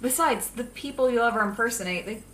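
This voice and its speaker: A woman's voice: on the high side, fast talker, a bit bright, close to an everyday voice. A young woman playing a pragmatic villain's best friend in an audio drama, matter-of-fact and insistent. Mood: persuasive, insistent. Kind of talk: naturalistic audio drama acting. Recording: echoey room with faint background noise.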